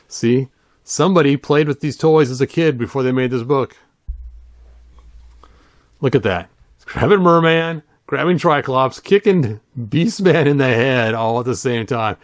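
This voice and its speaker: A man's voice: medium pitch, no room sound, clean studio sound. A middle-aged man giving a casual, playful, rambling read-along commentary as the host. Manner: playful, rambling, self-amused, adds sung sound effects. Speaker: host of a toy and storybook channel.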